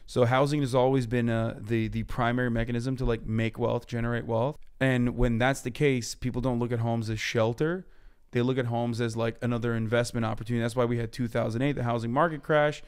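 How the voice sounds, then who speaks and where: A man in his thirties from Turkey, a podcast guest ranting about politics in a blunt, profane way in a studio on a boom mic. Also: level tone, clean tone. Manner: blunt, profane, rapid explaining. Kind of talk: heated political chat. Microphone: boom mic on stand.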